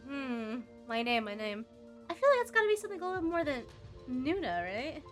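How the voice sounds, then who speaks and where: Female game streamer, higher-pitched, chatty and reactive, reads story text aloud between muttered asides, music underneath. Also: very animated, fairly quick. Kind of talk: casual game commentary, reading on-screen text aloud.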